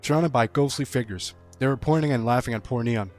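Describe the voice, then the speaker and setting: A man's voice: mid-range voice, darkish tone, lightly acted. Man reading as a folksy yarn-spinner over faint music. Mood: folksy, animated. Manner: folksy, playful, drawn-out similes. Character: folksy rural storyteller spinning a tall spooky yarn. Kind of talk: storytelling read aloud.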